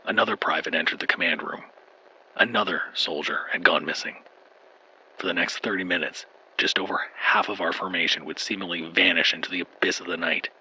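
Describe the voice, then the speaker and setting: Man voicing a doomed soldier, low-pitched, sharp forceful edge, grim deliberate horror storytelling. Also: a little hiss or hum, poor audio. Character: frightened, doomed male soldier recounting horror in Alaska. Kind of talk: scripted first-person horror narration.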